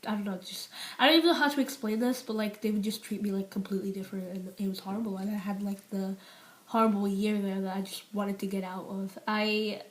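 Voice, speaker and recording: A woman's voice: medium pitch, steady tone, fast, poor audio. A seventeen-year-old girl from the UK, talking openly to camera with lots of fillers and restarts, her voice sometimes dropping to a near whisper. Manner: rambling, many fillers and restarts; drops to a near whisper on painful topics. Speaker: vlogger. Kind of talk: unscripted personal confession to camera.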